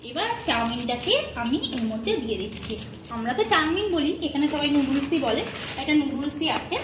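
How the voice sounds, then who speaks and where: Woman, middle-aged, home cooking vlog narration, chatty step-by-step asides, very high voice, echoey room, kitchen noise. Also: fairly quick. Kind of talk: casual cooking narration to viewers. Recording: echoey kitchen with clear sizzling and stirring noise. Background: frying sizzle, stirring.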